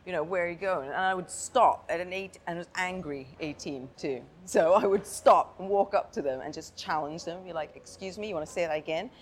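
Frank, laughing woman guest, low voice. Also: brisk, hardly any pauses. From Thailand and Hong Kong. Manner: relaxed, frank, laughs often. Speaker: guest artist. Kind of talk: casual podcast interview. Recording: faint background noise.

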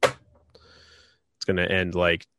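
A man's voice: low voice, completely flat delivery, drawn-out words, pushed and bright, smooth, dry recording. A stuffed-up man hosting a casual sports podcast, rambling with lots of fillers. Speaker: podcast host.

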